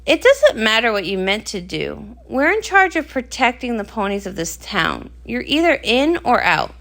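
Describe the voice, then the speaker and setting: A woman's voice: on the high side, big pitch swings, normal speed, vocal fry, fairly bright tone, heavily acted. A woman voicing a demanding, blunt female pony who captains the guard in a storybook. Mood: stern.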